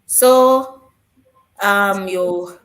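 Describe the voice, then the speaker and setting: Woman co-host, mid-pitched, repeats herself for emphasis, echoey room sound. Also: drawn-out words, a bit bright, faint music behind. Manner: repeats key points to stress them. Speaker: live stream co-host answering teacher questions. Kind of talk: casual live stream Q&A.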